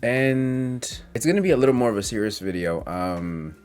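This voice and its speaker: A man's voice: mid-range voice, lively intonation, normal speed, a little mellow, faint music behind. A man in his twenties talking in a casual, confessional vlog monologue, reflective and hesitant as he rambles through his thoughts. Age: 20s. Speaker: content creator.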